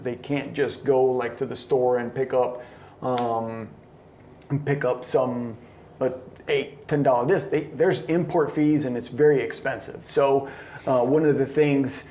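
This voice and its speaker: A middle-aged man who grew up in Colombia talks in a relaxed, conversational way as a sports coach. His delivery is enthusiastic, with an average-pitched voice. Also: measured pace, a little hiss or hum. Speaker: disc golf coach. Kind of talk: casual chat.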